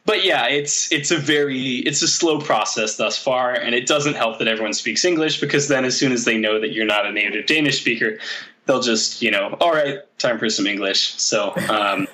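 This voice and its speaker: A man, a powerlifting coach, talking as a podcast guest in a relaxed, rambling way with many pauses. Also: mid-range voice, rough recording. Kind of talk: casual podcast conversation.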